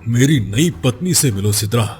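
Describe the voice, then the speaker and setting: A man's voice: mid-range voice, animated, normal speed, darkish tone, soft background music, lightly acted. Man playing a domineering village chieftain, commanding. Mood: brisk, bossy. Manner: commanding, imperious. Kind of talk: Hindi moral-story cartoon dialogue.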